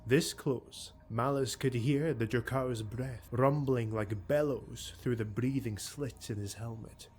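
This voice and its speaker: A man narrating dark fantasy prose as an audiobook reader, measured and dramatic. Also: mid-range voice, a little hiss or hum.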